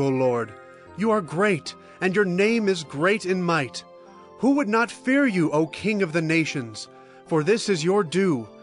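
Earnest male scripture voice, a bit higher, music bed behind. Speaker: Bible narrator. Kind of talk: dramatized scripture reading.

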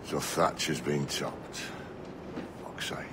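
An actor playing a gruff, bitter, hard-drinking pirate captain in a video game, coarse and gravelly. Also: male voice, rumbling low, steady tone, slow, heavy background noise. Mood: bitter, brooding. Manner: coarse, cursing, brooding. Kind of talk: dramatic video game acting.

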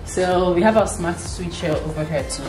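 Young Nigerian woman vlogger, gushing house tour, lowish voice, camera-mic distance, loud background music. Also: normal speed. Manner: gushing, exclamatory, repeats praise. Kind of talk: enthusiastic walkthrough. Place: empty furnished house, indoors, echoey rooms. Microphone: camera mic, filmed from a few steps away. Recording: roomy echo, off-mic, loud music bed.

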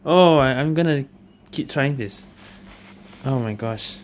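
A woman's voice: extremely low pitch, measured pace, a little hiss or hum. A woman giving casual gameplay commentary, thinking aloud in short reactive phrases. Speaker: video game streamer. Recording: game music behind the voice.

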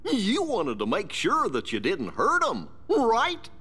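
A man playing a kindly, goofy cartoon dog in a game, with a husky voice and a folksy drawl. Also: high voice, huge pitch swings, slow, bright, forceful tone, in a large hall, full character voice. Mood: gentle, reassuring. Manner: folksy, drawling, good-natured.

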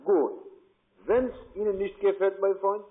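A man speaking as a call centre manager in a halting, self-important manner. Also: sits high, breathy edge. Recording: tinny phone line.

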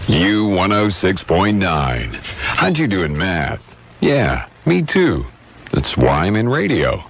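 A man's voice: sits low, wildly swooping pitch, takes it slowly, creaky, breathy edge. A man reading scripted radio station promos in a wry, sardonic manner. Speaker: station announcer. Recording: radio aircheck with faint noise.

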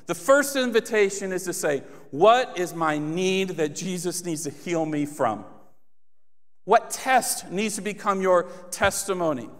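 A man in his thirties preaching a passionate sermon on a church stage, speaking into a handheld mic and rising to emphatic appeals. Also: high-pitched. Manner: passionate, builds to emphatic exhortation. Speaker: pastor. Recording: long hall reverb.

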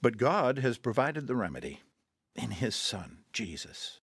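An actor playing a patient mission counselor in a radio drama, talking calmly and earnestly and quoting scripture. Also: male voice, medium pitch, unhurried, breathy edge, no room sound, clean studio sound, heavily acted. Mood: gentle. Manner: calm, earnest, quotes scripture.

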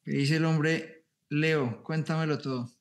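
Male trading instructor, upbeat and reassuring, slightly high-pitched. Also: steady tone, unhurried. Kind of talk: online class Q&A.

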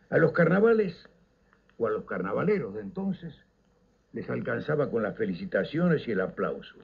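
An older man with a Uruguayan Rioplatense accent, telling nostalgic stories on radio in a theatrical, declamatory way with dramatic pauses. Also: mid-range voice, vocal fry, husky. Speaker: radio storyteller and host. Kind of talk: nostalgic scripted radio narration. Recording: slightly dull, lo-fi radio recording.

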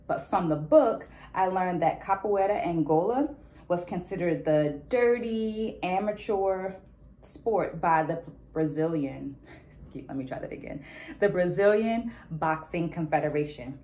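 A middle-aged woman talking to camera about a book in a deliberate, articulate, explanatory manner. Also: fairly low pitch, animated, warm tone. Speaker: educator and book reviewer. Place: small indoor room at home. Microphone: phone camera a short distance away.

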